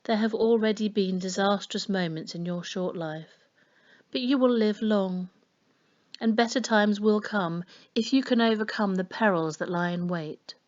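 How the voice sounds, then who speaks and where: Woman reading as mysterious storybook fortune-teller, gentle murmur, solemn, knowing, very slow, dull recording. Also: medium pitch, lightly acted. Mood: solemn, prophetic.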